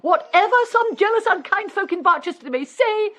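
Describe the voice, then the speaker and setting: Bossy anxious english wife, super high lively voice, nags and fusses, radio play. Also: female voice, moderate pace. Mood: bossy, insistent. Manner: nagging, insistent, fussing. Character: bossy, anxious, ambitious wife of a meek clergyman. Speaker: actor in a radio drama. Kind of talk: period radio drama acting.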